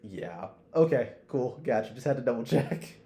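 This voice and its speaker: A man's voice: medium pitch, lively intonation, moderate pace, dark tone. A man co-hosting a podcast, chatting casually about anime with lots of slang and heavy swearing. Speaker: podcast co-host. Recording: roomy, echoey sound.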